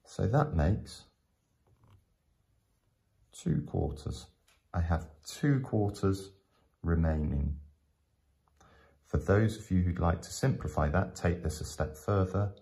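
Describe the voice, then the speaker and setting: A man teaching in a step-by-step tutorial, explaining patiently with frequent pauses. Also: low-pitched, expressive, creaky, dark tone. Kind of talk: step-by-step maths tutorial narration.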